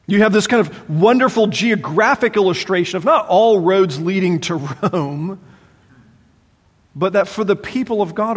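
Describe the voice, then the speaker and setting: A man preaching a sermon as pastor, animated and exclaiming, voicing his listeners' doubts aloud, in a large church sanctuary. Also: high-pitched, creaky, breathy edge. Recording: long hall reverb.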